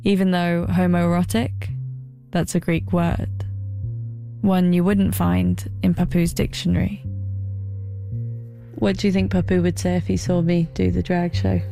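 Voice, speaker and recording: A woman's voice: fairly low pitch, level tone, unhurried, creaky, long pauses, dark tone, soft background music. A woman narrating a personal documentary in a wry, self-deprecating way.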